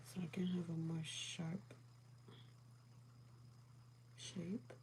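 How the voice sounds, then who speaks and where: A calm, soothing woman chatting casually with viewers as she does her makeup, often dropping to a whisper. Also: lowish voice, extremely slow, a little hiss or hum. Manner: calm, soothing, soft-spoken; often drops to a whisper while applying makeup. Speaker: beauty livestream host.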